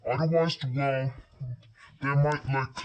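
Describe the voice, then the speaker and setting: A man delivering an amateur emergency alert announcement in halting, improvised phrasing. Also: mid-range voice, level tone, slight background noise.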